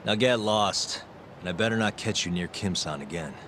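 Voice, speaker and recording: A man's voice: fairly low pitch, normal speed, background noise, lightly acted. An actor playing a cool, unflappable male hero in a video game, dry and understated with wry sarcasm. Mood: wry, firm. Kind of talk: video game cutscene acting. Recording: prominent music behind the voice.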